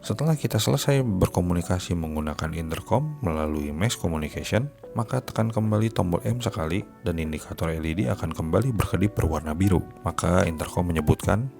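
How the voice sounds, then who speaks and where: A man's voice: low voice, lively intonation, a little fast, creaky, a little mellow. A man narrating a scripted gadget review as a product reviewer. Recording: music behind the voice. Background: music.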